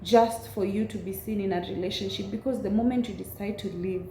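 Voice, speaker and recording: Raspy young woman talking to camera, getting over a cold, mellow voice, roomy echo, faint noise. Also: mid-range voice. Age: 20s. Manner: earnest, affirming, unhurried. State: recovering from a cold. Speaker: vlogger. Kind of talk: casual chat to camera.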